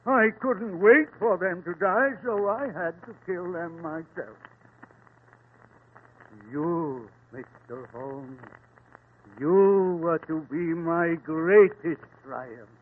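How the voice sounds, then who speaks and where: A man's voice: fairly high pitch, takes it slowly. An actor playing an old male wax sculptor who has turned murderer, proud and self-pitying, in 1940s radio acting. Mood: menacing. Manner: grandiose, self-pitying, theatrical. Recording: tinny old radio broadcast.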